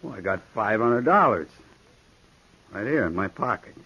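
A man's voice: lowish voice, big pitch swings, moderate pace, pushed and bright, husky, full character voice. An actor playing a reckless hired gunman in a 1950s radio drama, drawling and threatening. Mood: menacing. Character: menacing hired gunman, grown man, reckless. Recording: dull old radio recording.

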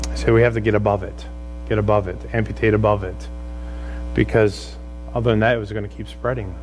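A man's voice: mid-range voice, low-quality recording. Pastor, male, middle-aged. Exhorting yet conversational sermon delivery with rhetorical questions, slight mains hum on the recording. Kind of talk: sermon to a congregation. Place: church room, speaking from a stage. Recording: steady mains hum and noise.

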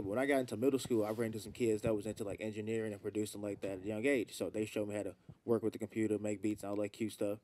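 A man's voice: on the low side, warm tone. Laid-back young man on a couch podcast. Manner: laid-back, loose slang, storytelling. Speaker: podcast guest, rapper. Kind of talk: casual group chat. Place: living room with large couch. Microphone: handheld mic.

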